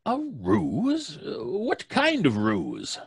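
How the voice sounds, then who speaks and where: A man's voice: high voice, wildly swooping pitch, very slow, gravelly, breathy edge, no room sound, studio quality, heavily acted. A man playing a hearty, pompous, self-satisfied theatrical actor in an old-time radio play, effusive and grandiose. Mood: intrigued, amused.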